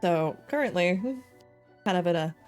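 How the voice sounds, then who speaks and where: A woman's voice: on the low side, animated, moderate pace, a little mellow, clean tone. Girl in her 20s streaming a game, casual chatty talk, music in the background. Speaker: live streamer. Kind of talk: casual gaming stream chat.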